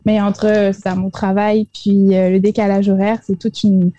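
A woman's voice: mid-range voice, little pitch movement, normal speed, mellow, no echo, muffled recording. A young woman from France with a French accent tinged by Quebec, answering interview questions, hesitant, with many fillers and restarts. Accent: French with Quebec influence. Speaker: guest.

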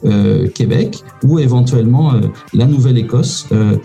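A man talks through casual advice, stopping often on fillers. His voice is very dark and mellow. Faint music plays behind him. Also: medium pitch, normal speed, creaky. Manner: hesitant, many "euh" fillers, informal. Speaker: immigration consultant guest.

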